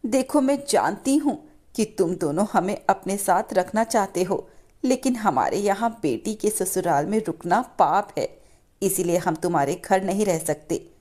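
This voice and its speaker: Woman as poor mother, gentle, soothing, self-sacrificing, very animated pitch, moral story cartoon. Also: a little slow. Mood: reassuring.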